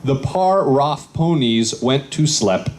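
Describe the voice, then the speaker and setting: A man on a convention panel reading garbled fan fiction aloud in a drawn-out way. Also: medium pitch, expressive, darkish tone, a little hiss or hum.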